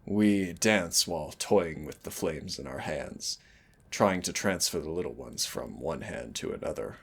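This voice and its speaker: A man playing a teasing, lovestruck winged fire demon in an intimate audio roleplay, with a deep, husky, gravelly voice. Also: lively intonation, a little slow, fairly bright tone, full character voice. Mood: tender, wistful. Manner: intimate, teasing, addresses listener as darling.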